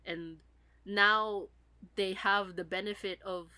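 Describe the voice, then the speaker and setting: A woman's voice: mid-range voice, fairly even intonation, takes it slowly, pushed and bright. A woman who is sick, chatting casually as a podcast co-host. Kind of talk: casual chat.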